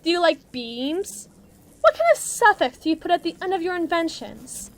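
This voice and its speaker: A mean, cocky female villain's henchwoman voiced by a woman for an audio roleplay. Her voice is high and swings widely from note to note. She talks tough and slangy, mocking the listener with theatrical sarcasm. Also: lively intonation, normal speed, slight background noise. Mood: needling, condescending. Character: brash, mean female villain henchwoman, muscle for evil agency. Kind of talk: acted monologue roleplay addressed to listener.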